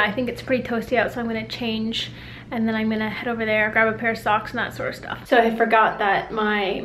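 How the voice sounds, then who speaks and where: Young adult woman, casual vlogger, slightly high voice, rambling and upbeat, faint background hum. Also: fairly bright tone, low-quality recording. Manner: chatty, upbeat, rambling with many likes. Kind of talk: casual vlog chat. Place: at home and out and about. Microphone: handheld compact camera.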